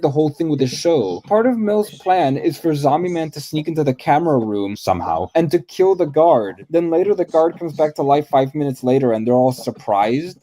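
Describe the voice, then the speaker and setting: Mellow, sarcastic man giving scripted commentary. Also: medium pitch, slight background noise. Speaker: video essayist.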